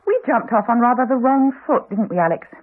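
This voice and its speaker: An actress playing a reserved, candid middle-aged Englishwoman, polite and measured, in a 1980s radio drama. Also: fairly high pitch, expressive, no echo. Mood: candid, tense. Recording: slightly dull, dry old radio recording.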